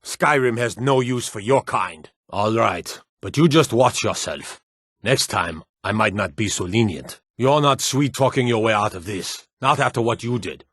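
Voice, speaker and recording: An actor playing a stern, menacing fantasy city guard in a video game, gruff and authoritative, with a weary, corruptible streak. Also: male voice, on the low side, lively intonation, moderate pace, fairly bright tone, dry recording, clean studio sound, exaggerated voice. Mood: stern, grudging. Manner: gruff, authoritative, clipped threats. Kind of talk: scripted fantasy game voice lines.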